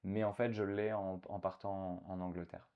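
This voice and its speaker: A man's voice: sits low, little pitch movement, moderate pace, lo-fi sound. A man in his thirties from France, a guest in a casual interview, talking with many fillers and restarts.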